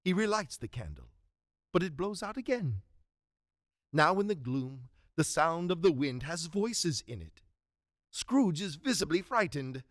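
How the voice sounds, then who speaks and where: A man's voice: a little high, big pitch swings, unhurried, dry recording, studio quality. A man reading dramatic scripted narration for a radio drama.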